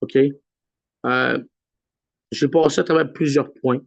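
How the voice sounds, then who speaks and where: A man with a Québécois accent hosting a weekly video call in a relaxed way, with many pauses and filler sounds. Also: mid-range voice, vocal fry, no room sound. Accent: Québécois French. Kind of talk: casual live address on a video call. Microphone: computer mic on a video call. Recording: dull lo-fi video call audio.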